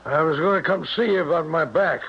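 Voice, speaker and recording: An actor playing a worried, folksy elderly small-town father in an old-time radio drama, with a creaky, rambling, homespun way of talking. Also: on the high side, brisk. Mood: folksy, fretting. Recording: noisy, muffled 1970s radio broadcast.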